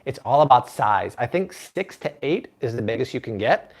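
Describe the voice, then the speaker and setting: A man's voice: mid-range voice, very animated, normal speed. A man in his thirties hosting a live cooking stream from a home kitchen, chatting with viewers and reading their comments. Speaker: cooking show host.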